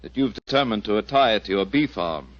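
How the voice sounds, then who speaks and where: An actor playing a fastidious, disdainful science professor in a 1940s radio drama, with formal, precise and slightly hesitant speech. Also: male voice, on the low side, animated, no echo, lightly acted. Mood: fastidious, smug. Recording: dull, lo-fi 1940s radio recording.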